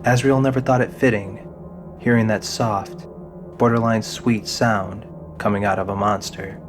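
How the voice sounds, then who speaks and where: A man's voice: on the low side, steady tone, measured pace. A man narrating a scripted fantasy audio drama in the role of storyteller. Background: music under the narration.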